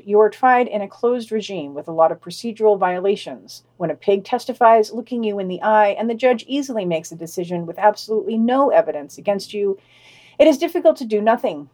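A woman's voice: medium pitch, darkish tone. Woman reading podcast news, dryly wry.

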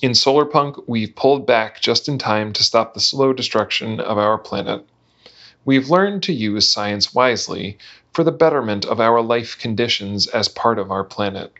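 A man reading a written text aloud in a measured, enunciated way for a podcast. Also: on the low side, fairly bright tone. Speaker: reader of a manifesto. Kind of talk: scripted reading of a numbered manifesto. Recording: dull, lo-fi podcast audio.

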